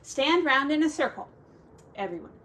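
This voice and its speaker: Woman as a high, bossy young piglet. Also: very animated, moderate pace, sharp tone, distant mic, a little hiss or hum, exaggerated voice. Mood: bossy squabbling. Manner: short bickering exclamations. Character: squabbling, bossy young piglets, cheeky children.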